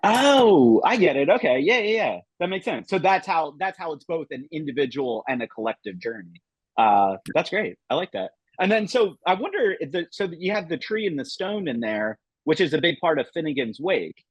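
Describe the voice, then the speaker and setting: Male roundtable host, husky and dry, eager and loose. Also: on the high side, big pitch swings, normal speed, no echo. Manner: enthusiastic, many fillers. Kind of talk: casual roundtable discussion.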